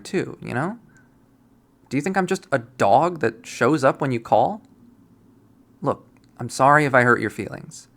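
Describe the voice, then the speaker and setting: A narrator reading a visual novel aloud, quiet and measured, softly voicing the characters. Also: mid-range voice.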